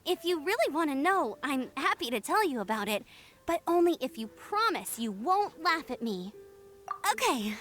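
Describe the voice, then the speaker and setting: A woman voicing a cheerful, eager young female scout in a video game, with a perky, expressive, chatty manner. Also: sits high, slight background noise, exaggerated voice. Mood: sheepish, playful. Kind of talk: video game character dialogue.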